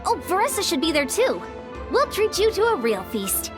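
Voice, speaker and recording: A woman playing a cheerful, outgoing young female warrior in a video game, with an upbeat, expressive delivery. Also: very high-pitched, normal speed. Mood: upbeat, reassuring. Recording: loud music behind the voice.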